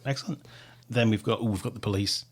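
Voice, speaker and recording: A man's voice: fairly low pitch, fast, a little hiss or hum. A man hosting a casual interview on a radio podcast, chatty and full of fillers and repeated starts.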